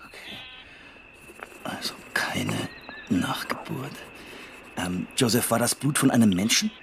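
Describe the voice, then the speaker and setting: A male forensic scientist in a radio drama, with a very lively, varied pitch. He is a polite but hurried boss, probing and businesslike, pausing hesitantly over faint background noise. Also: gravelly, heavily acted. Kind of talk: naturalistic German radio play acting.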